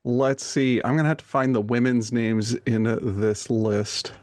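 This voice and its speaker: A man's voice: medium pitch, steady tone, unhurried, darkish tone, no room sound. A man with a mid-range voice and a dry, close sound plays a tabletop game. He improvises casually, hesitating and thinking aloud with a droll manner.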